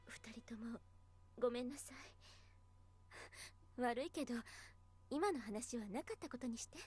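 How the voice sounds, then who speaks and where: A woman voice actor playing a grieving, determined but gentle teacher in a Japanese visual novel, earnest and soft-spoken. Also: a little high, normal speed, husky. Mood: apologetic, pleading.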